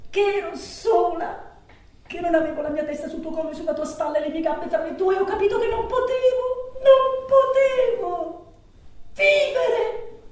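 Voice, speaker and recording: An actress playing a desperate, abandoned lover pleading on the telephone in a theatrical monologue, halting and repetitive, swinging between hushed and anguished. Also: female voice, sits high, natural voice. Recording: very echoey, distant stage recording, noisy.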